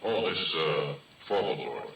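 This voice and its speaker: Very deep folksy old-radio oilman. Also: lively intonation, unhurried, creaky, lo-fi sound, slight background noise, exaggerated voice. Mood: folksy, explaining. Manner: folksy, rambling storyteller with homespun grammar. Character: folksy male oil-rig worker spinning an eerie yarn. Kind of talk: old-time radio drama narration. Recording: vintage radio recording, roomy and distant.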